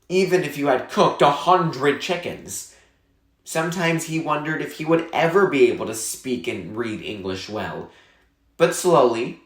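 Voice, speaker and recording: A man narrating a children's novel aloud in an expressive, measured storytelling style with clear phrasing. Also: fairly high pitch, reverberant.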